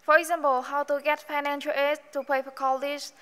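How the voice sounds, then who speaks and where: A teenage girl from Vietnam reads her part carefully, with a few hesitant "uh"s. Her voice is high and bright, and the reverb of a big auditorium hangs on it. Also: flat delivery, brisk. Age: teens. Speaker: student presenter. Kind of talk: reading a prepared presentation. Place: large theater stage.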